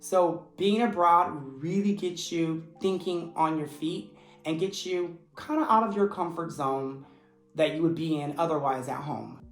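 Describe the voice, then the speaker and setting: A man who teaches English, giving a steady, earnest explanation in vlog style. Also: fairly high pitch, creaky. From United States. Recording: echoey room with faint music. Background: faint background music.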